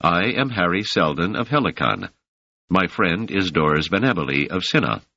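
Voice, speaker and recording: A man's voice: deep voice, sing-song, moderate pace, creaky, pushed and bright, no echo, lo-fi sound, exaggerated voice. A man voicing a polite, formal male scholar, close to his own voice. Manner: formal, courteous.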